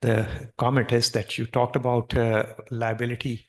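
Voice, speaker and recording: A man's voice: mid-range voice, level tone, normal speed. A man in the audience asking a question at a conference, musing aloud with fillers.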